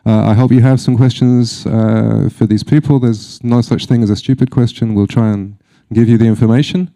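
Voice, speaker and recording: Dude moderating a panel, super dark smooth voice, lots of uhs. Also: male voice, medium pitch, normal speed, no echo. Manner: relaxed, hesitant, with many fillers.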